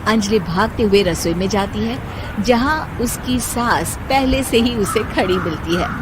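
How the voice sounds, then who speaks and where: A woman narrating a cartoon bedtime story in a steady, plain storytelling manner. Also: medium pitch, unhurried, background noise.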